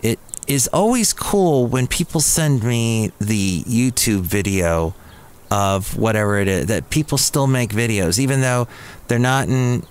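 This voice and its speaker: A man's voice: medium pitch, lively intonation, unhurried, clear, resonant tone. A man hosting a podcast in a café, chatting casually as he introduces new songs and reads emails aloud. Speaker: podcast host.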